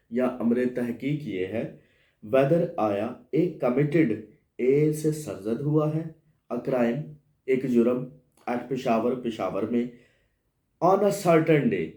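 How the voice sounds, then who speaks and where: A man's voice: mid-range voice, mellow. A man with a Pakistani accent teaching as a law lecturer, reading out clauses and explaining them by asking and answering his own questions. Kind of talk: lecture reading English clauses and translating into Urdu. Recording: echoey room sound.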